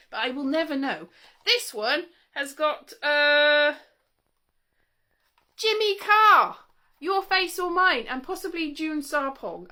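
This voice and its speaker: A woman's voice: high voice, sharp tone. A woman talking casually to camera in a chatty, wry, rambling way, often breaking off and interrupting herself. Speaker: vlogger showing old interview tapes.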